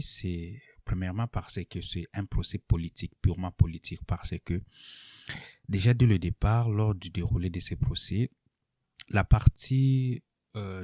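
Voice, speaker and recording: A man presenting political analysis in an unscripted, explanatory way, deliberately and with pauses mid-sentence. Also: deep voice, mellow, no echo, clean studio sound. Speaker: political commentator presenting an online video.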